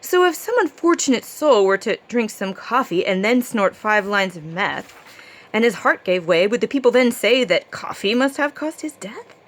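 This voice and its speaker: A woman's voice: medium pitch, sing-song, moderate pace. A woman reading her own rhyming verse aloud like a storybook tale, with a rhythmic, theatrical storytelling delivery.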